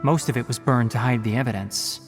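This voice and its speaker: A man's voice: medium pitch, fairly quick, soft background music, natural voice. A man voicing a stern, scholarly young male forest ranger who speaks in a precise, lecturing way, in a game cutscene. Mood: earnest. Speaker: forest watcher.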